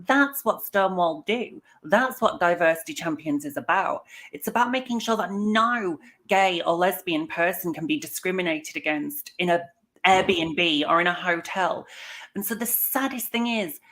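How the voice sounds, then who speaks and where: A woman's voice: on the low side, fairly bright tone. An author from England speaking as a guest over a video call, fervent and emphatic about her cause. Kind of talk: impassioned commentary.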